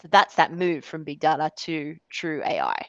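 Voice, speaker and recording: A woman's voice: sits low, wildly swooping pitch, normal speed, a bit bright. A woman in her forties with an Australian accent, giving a chatty, self-deprecating webinar talk with many fillers. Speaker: guest speaker. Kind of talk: webinar presentation. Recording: dull, dry lo-fi sound.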